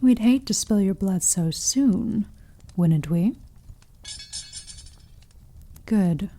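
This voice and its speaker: Woman acting a slow, dark-voiced sadistic vampire mistress. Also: medium pitch, animated. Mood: commanding. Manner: slow, purring, condescending endearments, breaking into breathy whispers. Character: sadistic possessive female vampire, seductive and commanding. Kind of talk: ASMR roleplay.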